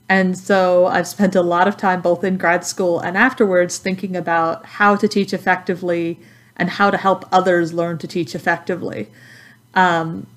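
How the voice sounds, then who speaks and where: Friendly American woman instructor, conversational. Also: lowish voice. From Texas, United States. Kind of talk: informal course introduction to students.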